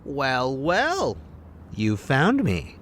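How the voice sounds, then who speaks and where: An actor playing a cocky, flirtatious, eccentric field agent in an audio drama, smug and theatrical, dropping in bits of Spanish. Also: male voice, mid-range voice, wildly swooping pitch, very slow, fairly bright tone, full character voice. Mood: cocky, teasing.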